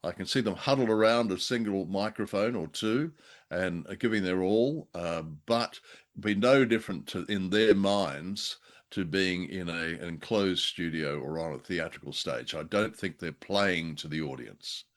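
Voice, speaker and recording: A man's voice: low-pitched, moderate pace. An Australian man co-hosting a casual radio chat show, speaking in a wry, jovial, hesitant and wordy way. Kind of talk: casual conversational review of old radio drama.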